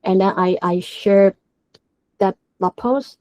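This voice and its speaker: A woman's voice: mid-range voice, slow, dark tone. A woman from Hong Kong with a Hong Kong accent and Cantonese as her first language, giving a technical presentation at a developer meetup. She talks hesitantly, with many filler sounds and restarts. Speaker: guest speaker at a developer meetup.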